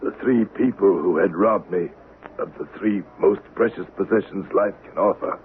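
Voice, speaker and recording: An actor playing a bitter, domineering older man out for revenge in a Western radio drama, with a menacing, deliberate delivery. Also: deep voice, natural voice. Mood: dying, weary. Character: vengeful, bitter wealthy old Westerner, cold and domineering. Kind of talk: 1970s radio drama acting. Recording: dull, noisy vintage radio drama recording.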